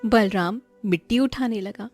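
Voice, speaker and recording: A woman's voice: medium pitch, unhurried, a little mellow. Woman narrating a story over music. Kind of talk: scripted dramatic narration.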